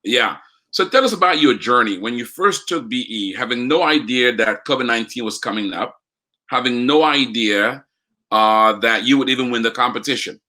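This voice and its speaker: A man's voice: medium pitch, normal speed, pushed and bright. A man hosting a live podcast, asking a guest questions with upbeat enthusiasm. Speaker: podcast host.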